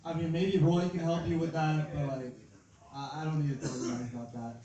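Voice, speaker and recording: A man from China doing a stand-up set, tipsy after a few beers, working the crowd loudly with lots of filler words. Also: fairly high pitch, fairly quick, highs cut off. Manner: loud, brash crowd work with frequent "like" fillers. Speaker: stand-up comedian. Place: comedy club stage. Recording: echoey room, recorded from a distance, lively crowd.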